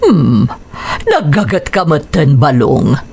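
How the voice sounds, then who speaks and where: A polite, approving businesswoman in a radio drama. Her husky voice is on the low side and she speaks a little slowly with lively swings of tone. She has a Filipino accent. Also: exaggerated voice. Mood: friendly, approving. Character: polite, approving businesswoman visiting to buy pigs. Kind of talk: Ilocano radio drama acting.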